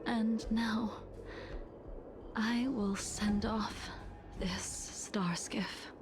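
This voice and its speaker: An actress playing a solemn, grieving veteran swordswoman in a video game, with a soft, breathy, restrained delivery. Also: on the high side, steady tone, music in the background. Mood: grave, reproachful. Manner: slow, measured, grave. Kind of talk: English-dubbed video game story acting.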